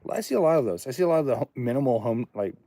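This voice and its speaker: A man's voice: mid-range voice, expressive, very fast, mellow, no room sound. A man in his late thirties chatting casually on a livestream from his home studio, thinking aloud and rambling between topics. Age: 30s. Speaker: livestream host, studio owner.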